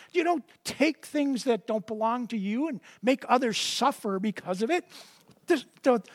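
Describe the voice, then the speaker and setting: High-pitched male preacher, conversational, stumbles into sentences, reads verses slowly. Dry recording. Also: dry recording. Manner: conversational, repeats words when starting sentences; reads scripture slowly and deliberately. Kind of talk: sermon with scripture reading.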